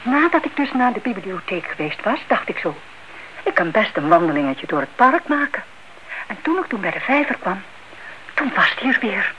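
Woman doing a fussy indignant aunt in a radio play, really lively, bit of hiss. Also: mid-range voice, normal speed, natural voice. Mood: dramatic, self-justifying. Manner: excitable, defensive, dramatic. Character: flustered, indignant, fanciful spinster aunt. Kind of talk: radio drama acting. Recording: dull, noisy old radio recording.

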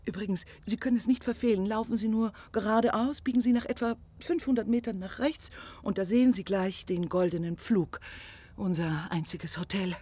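An actress playing a pushy, lovelorn provincial woman organiser in a radio drama, effusive, rambling and melodramatic, with a husky, breathy voice. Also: medium pitch, normal speed, dark tone, natural voice. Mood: chatty, insistent.

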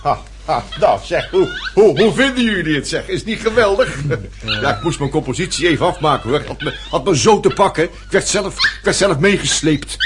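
High-pitched, dithering gentleman played by a man. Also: a little fast, background noise, natural voice. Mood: excited, self-pleased. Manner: stammering, distracted, excitable. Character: scatterbrained, genial gentleman amateur composer. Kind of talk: radio drama acting.

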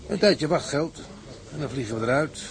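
An actor playing a gruff, cynical seaman in a Dutch audio drama, blunt, resigned and colloquial. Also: fairly high pitch, expressive, normal speed.

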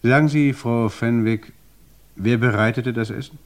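An actor playing an investigator who questions a widow in a measured, insistent way. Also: male voice, medium pitch, close to an everyday voice. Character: calm, probing male investigator interrogating a widow. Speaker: interrogator. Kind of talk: radio crime play.

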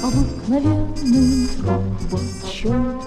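A high female voice performs a storytelling ballad very slowly and expressively. Loud musical accompaniment runs with it on a vintage flexi-disc recording. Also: animated, loud music behind the voice.

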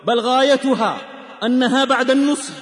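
A man's voice: very high-pitched, steady tone, fairly quick. A man preaching in a solemn, emotive, declamatory manner, telling stories and exhorting listeners in rhymed phrases. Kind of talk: dramatic sermon with storytelling, rhymed exhortations and verse. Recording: long reverberant hall-like echo on voice.

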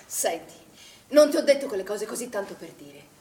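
A woman's voice: medium pitch, very animated, moderate pace, a crowd in the background. An actress playing a stern woman in charge at a swimming pool in a stage play, commanding, probing and clipped. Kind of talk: naturalistic stage acting in a theatre play. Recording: very noisy, echoey theatre recording from far off.

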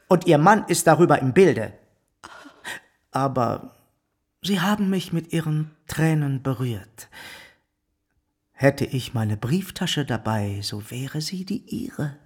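A wealthy, lecherous bourgeois suitor for a literary radio play. His husky voice sits a little high, and he flatters and patronizes with pompous self-satisfaction. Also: slow, pauses often, heavily acted. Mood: gloating. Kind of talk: literary radio drama acting.

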